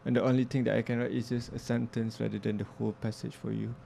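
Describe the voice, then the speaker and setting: A man's voice: mid-range voice, flat delivery, quick pace, creaky, dark tone, a little hiss or hum. A young man speaking as an interview guest, reflective and earnest, often restarting his sentences. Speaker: interview guest, filmmaker and music producer. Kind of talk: casual interview talk.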